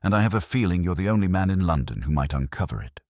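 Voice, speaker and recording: Man voicing a genteel, worried Victorian woman, low-pitched. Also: animated, quick pace, a little mellow, dry recording, clean studio sound. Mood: uneasy, earnest. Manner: polite, reserved, formal. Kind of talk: audiobook character dialogue.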